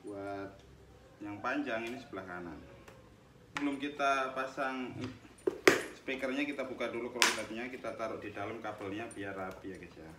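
A man's voice: lowish voice, normal speed. A young man hosting a casual helmet review vlog, chatting to his viewers as he takes the helmet apart. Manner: chatty, addresses viewers as guys. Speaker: motovlog host reviewing a helmet. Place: small room with tiled wall, indoors. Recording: echoey room, noisy background, mic at a distance.

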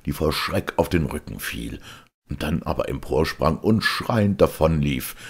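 A man narrating a fairy tale as a storyteller, theatrical and expressive. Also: extremely low pitch, a little slow, gravelly, slightly rough. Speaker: storyteller, audiobook reader.

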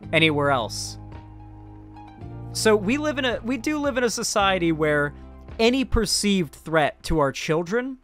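A young American man talks casually to his livestream audience in a slightly high voice. He is opinionated and blunt, rephrasing as he goes, close on the mic with some music behind him. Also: a bit bright. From central West Florida, American South. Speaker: political commentary streamer. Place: small home streaming room, indoors. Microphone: close microphone on boom arm, headphones on.

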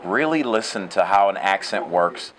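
Deep-voiced man musing in a casual interview. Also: moderate pace. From United States. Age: 30s. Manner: relaxed and reflective, many fillers and restarts. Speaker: actor. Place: open shed with concrete pillars.

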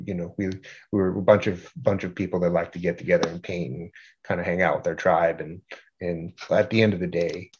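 A middle-aged man presents informally to a group in a fairly low voice. He speaks haltingly, often doubling back on words, with a self-deprecating manner. The sound is slightly dull and lo-fi, as on a video call. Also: a little mellow, husky. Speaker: art group organizer giving a talk. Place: indoor room at home, on a video call.

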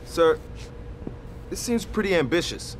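An actor playing a respectful but doubtful army officer questioning orders in a war game. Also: male voice, on the high side, very animated, unhurried, slight background noise. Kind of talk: military briefing drama.

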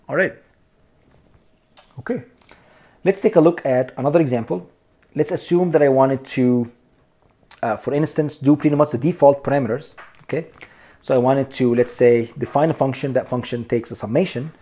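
A man's voice: medium pitch, mellow, slightly rough. A man teaching a programming lesson over a screen recording, explaining each step and checking in with "okay?" and "here we go" as he addresses viewers as "you guys.". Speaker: programming instructor.

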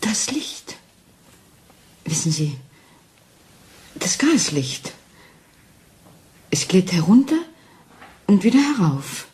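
An actress playing a frightened wife who doubts her sanity, speaking anxiously and haltingly in a German crime radio play. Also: fairly low pitch, very animated, a little mellow. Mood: fearful, urgent. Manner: anxious, halting, intense, dropping into whispers.

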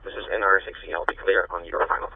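A man's voice: low-pitched, steady tone, fast, husky, no echo, narrow, tinny audio. A man chatting casually as an amateur radio operator, heard over a two-way radio. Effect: two-way radio transmission. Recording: distant-sounding two-way radio transmission.

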